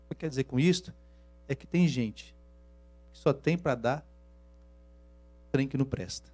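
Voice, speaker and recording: A man from Rio with a Minas Gerais accent, preaching a sermon, swinging from hushed asides to loud, drawn-out emphasis. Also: medium pitch. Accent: Minas Gerais Portuguese. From Rio de Janeiro, Brazil. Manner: shifts from hushed asides to loud, slow emphatic scripture reading. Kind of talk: sermon to a congregation.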